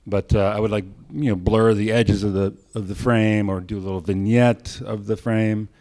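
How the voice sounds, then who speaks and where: Low-voiced man presenting. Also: steady tone, unhurried, dark tone. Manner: informal, speaking in short phrases for an interpreter. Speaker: cinematography presenter. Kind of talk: conference talk explaining technique.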